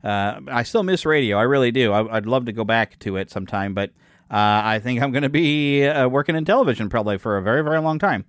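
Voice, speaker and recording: A man's voice: mid-range voice, animated, fast, dry recording, clean studio sound. A man in his forties hosting his own podcast in a rambling, chatty way, often correcting himself and making jokes at his own expense. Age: 40s. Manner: self-deprecating and joking. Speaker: podcast host. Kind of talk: casual solo podcast chat.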